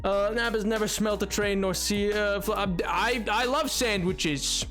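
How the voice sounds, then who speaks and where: A man streaming a game and chatting casually with his viewers, excitable and rambling, with many restarts and sudden yelps. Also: high voice, a little slow, faint music behind. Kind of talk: casual live-stream chat.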